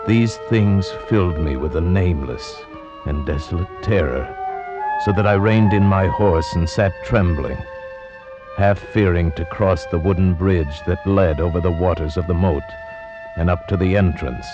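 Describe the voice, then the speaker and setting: An actor narrating as a grave gentleman recalling a dreadful visit, in 1940s radio drama style. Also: deep voice. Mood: solemn. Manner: solemn, measured storytelling. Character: grave gentleman, friend of a doomed man. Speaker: narrator in character. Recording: muffled old radio with music underneath.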